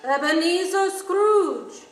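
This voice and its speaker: A woman's voice: very high voice, slow. A woman playing a solemn, commanding, unearthly spirit in a theatrical radio play, drawing out her words. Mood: solemn, summoning. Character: unearthly, solemn spirit of Christmas past, childlike yet ancient. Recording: distant echoey theater stage, faint music.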